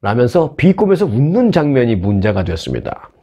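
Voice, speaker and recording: A man from Seoul hosts a news commentary show in a relaxed, talkative way. He explains the stories with asides and rhetorical questions, as if chatting with his viewers. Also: medium pitch, a little fast, breathy edge. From Seoul, South Korea.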